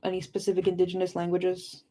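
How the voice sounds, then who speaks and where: A woman's voice: fairly low pitch, completely flat delivery, quick pace, mellow. Casual, rambling young woman. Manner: informal, rambling, digressive. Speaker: livestream host of a book discussion. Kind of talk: casual chat with live viewers.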